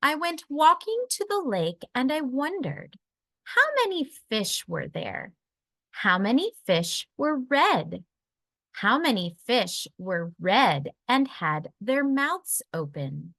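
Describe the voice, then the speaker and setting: A woman reading a children's picture book aloud in a deliberate, expressive storytelling manner for young listeners. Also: a little high, gravelly, no room sound.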